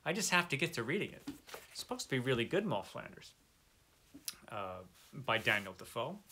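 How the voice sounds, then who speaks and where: A middle-aged man with a very bright, forward voice at an average pitch. He chats casually to an online audience like a book vlogger, wandering into wry, self-mocking asides. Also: very animated, normal speed, breathy edge. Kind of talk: casual chat to camera, book recommendations.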